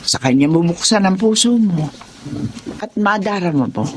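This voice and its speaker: A woman's voice: mid-range voice, lively intonation, moderate pace, darkish tone, a little hiss or hum, close to an everyday voice. Actress as a firm older Filipino mother. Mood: earnest, encouraging. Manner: admonishing, earnest. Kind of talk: Filipino radio drama acting.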